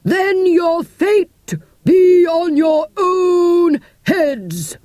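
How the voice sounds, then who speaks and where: A woman's voice: lively intonation, very slow, darkish tone, dry recording, clean studio sound, full character voice. A woman voicing a grown male herald who calls out formal, old-fashioned summons. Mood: stern. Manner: formal, archaic, booming.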